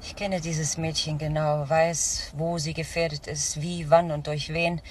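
Devoted care worker, intense and earnest, crime radio play, bit far from mic, noisy. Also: female voice, sits low, flat delivery, measured pace. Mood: earnest, guarded. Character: fervently devoted female care worker protecting a troubled girl. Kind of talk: German crime drama dialogue.

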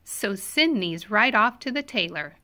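Storybook llama, female reader: male, entitled, picky complainer, bright tone. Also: mid-range voice, animated, a little slow, voice pushed down. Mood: picky, complaining.

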